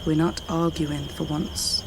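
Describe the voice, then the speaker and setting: A woman's voice: low-pitched, flat delivery, slow. An actress playing a weary, candid middle-aged British woman in a naturalistic radio drama. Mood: tender, reflective. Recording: night insects and outdoor ambience noise.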